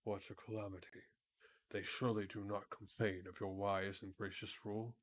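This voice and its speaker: A man voicing a dignified, kindly tin man emperor from a storybook, with a courteous, pompous and measured manner. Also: fairly low pitch, level tone, lightly acted. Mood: courteous, concerned. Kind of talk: storybook character read aloud.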